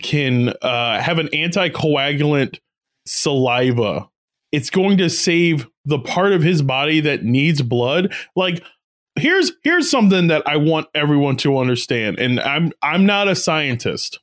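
Podcast co-host, male, high and animated, unhurried, halting explainer. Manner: animated, laughs often, explains points in halting restarts. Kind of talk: casual comedic movie-riffing chat.

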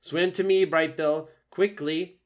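A man voicing a female robot mother who speaks in an even, polite, careful way. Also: mid-range voice, moderate pace, highs cut off, close to an everyday voice. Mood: urgent.

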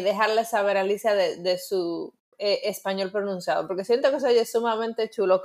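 Playful Dominican woman, casual chat. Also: mid-range voice. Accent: Dominican Spanish. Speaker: podcast co-host.

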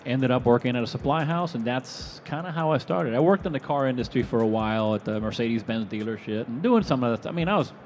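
A man's voice: mid-range voice, fast, hardly any pauses, a little hiss or hum. A man in his forties from Venezuela, a guest telling his story in a casual, rambling way on a live expo stage. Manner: rambling, animated, acts out quoted voices. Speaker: guest, product manufacturer.

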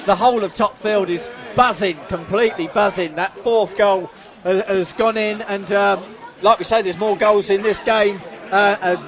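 Excitable play-by-play man, high-pitched, slightly worn voice, faint crowd behind. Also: normal speed. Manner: excitable, shouts at goals, self-mocking. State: losing his voice. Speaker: football commentator. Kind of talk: live play-by-play commentary. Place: outdoors at a football ground. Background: crowd noise.

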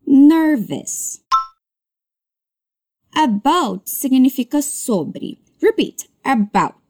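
Slow, careful woman teaching English. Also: high voice, warm tone. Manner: slow careful modeling of English words, then brisk explanations. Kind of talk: slow drilled pronunciation lesson.

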